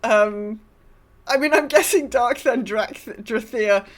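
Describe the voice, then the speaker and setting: A woman's voice: on the high side, slow. Woman podcaster with dry, sardonic delivery. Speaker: podcast co-host. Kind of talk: semi-scripted game lore recap with casual chat.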